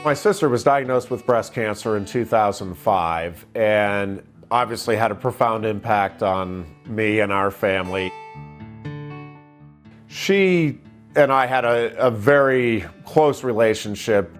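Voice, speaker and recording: Middle-aged American male sportscaster, lowish pitch, reflective interview storytelling over a light music bed. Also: a little slow. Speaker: radio wrestling play-by-play broadcaster.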